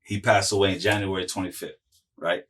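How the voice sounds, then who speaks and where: A man's voice: deep voice, little pitch movement, brisk, fairly bright tone, distant mic. A man in his forties from Miami, a guest telling stories in a loose, rambling way with lots of fillers and slang. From Miami, Florida. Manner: rambling, many fillers and restarts, profane slang. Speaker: interview guest, musical artist. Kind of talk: casual chat, storytelling.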